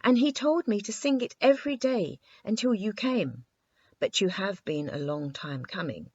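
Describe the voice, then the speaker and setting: A merry little girl voiced by a woman storyteller talks with innocent, forthright confidence. Her pitch rises and falls freely, as in a children's storybook. The recording is close and a little muffled. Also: lively intonation, moderate pace, a little mellow, dry recording, close to an everyday voice. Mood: matter-of-fact.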